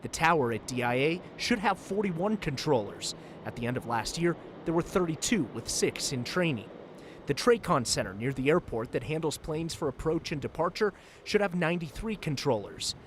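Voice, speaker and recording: A man's voice: fairly high pitch, lively intonation, normal speed, a little hiss or hum. An American man delivering a broadcast news report as a TV reporter.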